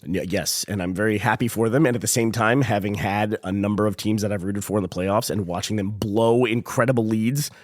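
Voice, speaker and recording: Man, hoarse sick voice, low-pitched, dry close sound, casual rambling podcast chat. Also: steady tone, a little fast, few pauses, no room sound. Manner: rambling, chuckling, self-deprecating. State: hoarse from a cold. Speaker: podcast co-host.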